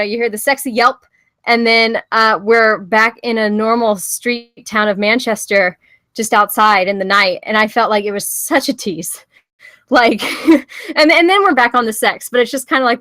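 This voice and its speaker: A woman's voice: a little high, pushed and bright, no echo. A woman giving casual, candid feedback on a script in an informal, blunt way.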